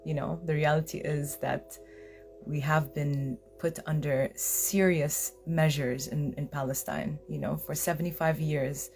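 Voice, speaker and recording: A woman's voice: deep voice, steady tone, unhurried, gravelly, a little mellow, faint music behind. A woman hosting a heartfelt live video, speaking earnestly and hesitantly, often restarting her sentences. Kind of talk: heartfelt personal live-stream talk.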